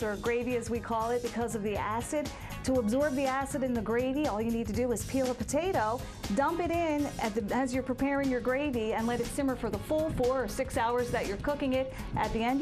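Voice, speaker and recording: A woman delivering a brisk, scripted cooking tip for a TV segment. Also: sits high. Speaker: TV chef giving a tip.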